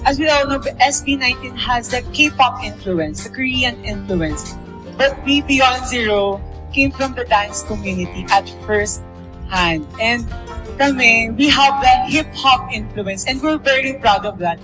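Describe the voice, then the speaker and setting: A man's voice: extremely high pitch, measured pace, sharp tone, faint music behind, rough recording. A man answering at a press conference earnestly, switching languages mid-sentence. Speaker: group member at a press conference.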